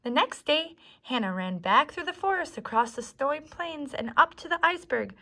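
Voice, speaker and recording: A woman telling stories to young children as a library storyteller, with simple, encouraging address and an animated picture-book reading style. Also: high voice, moderate pace.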